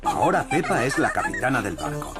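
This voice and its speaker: A woman's voice: a bass voice, huge pitch swings, brisk, loud music behind the voice, full character voice. A woman with a Spanish accent from Spain reading scripted narration for a children's cartoon.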